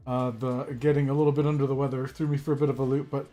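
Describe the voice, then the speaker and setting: A man's voice: mid-range voice, flat delivery, fast talker, warm tone, highs cut off, soft background music. A man hosting a calligraphy live stream, chatting casually and greeting viewers by name, with a slightly congested voice from a cold. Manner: friendly, greets viewers by name, rambling with fillers.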